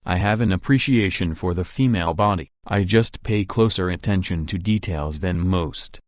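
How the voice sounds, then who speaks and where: A man's voice: low voice, normal speed, no room sound, clean studio sound, close to an everyday voice. A man playing a defensive, easily flustered teenage schoolboy in a story drama, protesting awkwardly.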